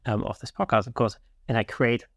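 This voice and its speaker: A man's voice: medium pitch, fairly even intonation, fairly quick, dry recording. Male podcast host, very dry close sound. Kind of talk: casual podcast conversation, explanatory.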